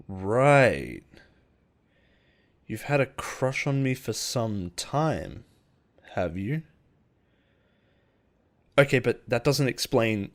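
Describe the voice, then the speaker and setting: A man playing an obsessive, possessive boss in love with his assistant, in an intimate audio roleplay with halting, pause-filled delivery. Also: mid-range voice. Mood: flustered, teasing. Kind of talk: intimate dramatic roleplay monologue.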